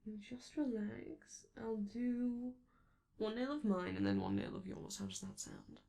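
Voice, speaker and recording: A performer, a woman, playing a vain, affectionate and theatrical robot star in an ASMR roleplay, with halting, doting asides and a creaky voice. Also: mid-range voice, animated, mellow. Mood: doting, relaxed.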